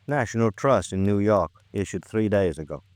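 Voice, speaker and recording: A man with an American accent playing a gruff, frustrated police lieutenant in an old radio drama, blunt and terse. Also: fairly low pitch, lively intonation, normal speed, darkish tone, no room sound, lightly acted. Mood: matter-of-fact. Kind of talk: 1950s radio drama acting.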